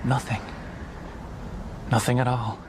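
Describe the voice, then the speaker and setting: A man's voice: medium pitch, level tone, takes it slowly, background noise, close to an everyday voice. An actor playing a numb, introspective man in a radio drama, subdued and reflective, with faint ambience behind. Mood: numb, wistful.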